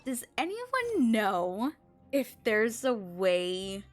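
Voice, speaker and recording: A woman's voice: fairly high pitch, wildly swooping pitch, drawn-out words, faint music behind. A woman chatting casually on a live game stream, musing aloud to herself and her viewers.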